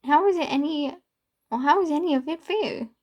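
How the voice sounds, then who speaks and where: A woman's voice: high-pitched, normal speed, darkish tone, dull audio, pitched above the performer's own voice, natural voice. A woman voicing a bitter, distraught young man in a story, impassioned and protesting, with stumbles. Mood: angry, yelling.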